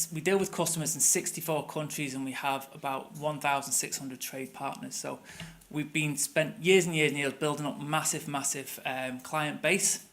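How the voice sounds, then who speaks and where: A man's voice: on the high side, fairly bright tone. A middle-aged man with a Manchester accent giving a conversational conference presentation, with many fillers and restarts. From England. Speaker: tour operator presenter. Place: conference room.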